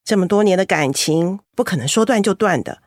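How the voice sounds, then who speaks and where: A woman's voice: mid-range voice, animated, brisk, dry recording, natural voice. Woman acting a wry, world-weary woman, close-miked. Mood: weary, resigned. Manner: wry, chiding. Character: world-weary, sarcastic woman, exasperated with men. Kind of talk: radio drama acting.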